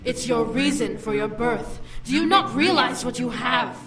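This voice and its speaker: A woman's voice: fairly high pitch, moderate pace, fairly bright tone, faint music behind. A woman playing a haughty demon noblewoman in a visual novel game, with an imperious, mocking, theatrical delivery. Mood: indignant. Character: haughty female demon noble, scorned fiancée and would-be queen.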